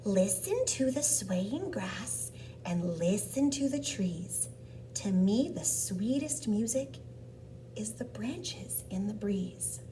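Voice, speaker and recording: A woman voicing a kind, encouraging cricket in a storybook, with a slow, coaxing lilt. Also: mid-range voice, animated, mellow. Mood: soothing, dreamy. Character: kind, encouraging cricket with a violin, gentle mentor. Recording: distant mic with background noise.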